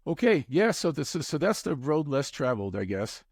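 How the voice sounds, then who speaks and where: A man in his fifties from Bulgaria, a startup founder telling his life story as an interview guest, reflective and pausing often with fillers. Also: a little high, very animated, darkish tone, husky, no echo, clean studio sound. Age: 50s. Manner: reflective, hesitant with many fillers.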